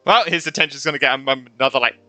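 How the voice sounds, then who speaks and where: A man's voice: mid-range voice, fast, pushed and bright, faint music behind. A man giving casual playthrough commentary as he plays a video game, with brief, informal reactions. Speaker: gamer, video creator.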